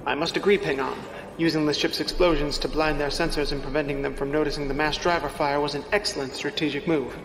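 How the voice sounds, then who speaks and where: A man's voice: on the high side, steady tone, fast talker, a bit bright. A man playing a formal, precise and approving fleet officer in a space opera audio drama. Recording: echoey hall reverb, faint noise.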